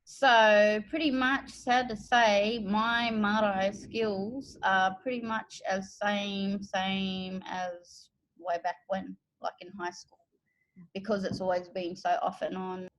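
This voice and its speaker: An Australian woman from the Northern Territory, an educator and interpreter, telling her own story in a relaxed, thoughtful way with pauses. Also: medium pitch, rough recording. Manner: unhurried, thoughtful, with pauses and fillers. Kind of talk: relaxed personal account.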